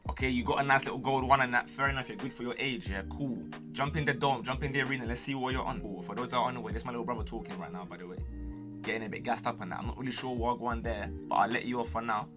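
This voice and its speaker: A young man with a London accent talks quickly in a casual, slangy streamer style. His delivery is cocky and full of playful banter, at an ordinary male pitch. Music plays clearly behind him. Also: fairly even intonation. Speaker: streamer and gamer answering viewer questions. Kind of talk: casual chat to camera.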